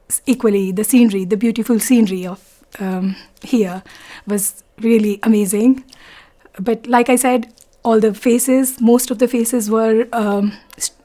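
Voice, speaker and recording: Soft, halting Indian woman in her forties. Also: a little high. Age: 40s. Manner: halting, searching for words, soft-spoken. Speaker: interviewee, doctor. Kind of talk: storytelling interview.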